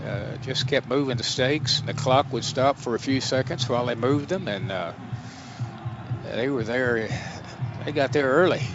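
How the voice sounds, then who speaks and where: A man's voice: mid-range voice, normal speed. An American man giving casual color commentary on a live football radio broadcast. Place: stadium radio booth. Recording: muffled radio broadcast with stadium noise. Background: stadium ambience.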